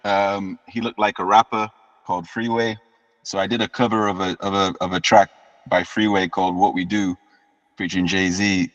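A man, telling his story as an interview guest in a relaxed way and often repeating words as he restarts. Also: low voice, normal speed. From United Kingdom. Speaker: guest musician. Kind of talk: casual interview chat. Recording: dull lo-fi sound with long reverb.

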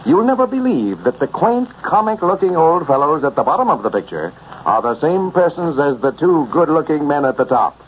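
Lively 1930s male radio announcer. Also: fairly high pitch, brisk. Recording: muffled, noisy 1930s radio recording.

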